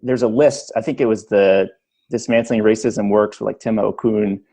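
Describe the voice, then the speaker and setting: A man's voice: lowish voice, steady tone, normal speed, mellow. A man hosting a podcast who speaks thoughtfully and hesitantly, searching for his words. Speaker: podcast host. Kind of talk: conversational podcast interview.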